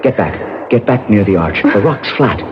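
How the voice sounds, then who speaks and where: Actor as a deep-voiced protective cave survivor. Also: male voice, expressive, moderate pace, natural voice. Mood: cautious. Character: protective, level-headed man trapped in a dark cave. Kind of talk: old-time radio drama acting. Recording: very noisy, muffled old radio drama recording.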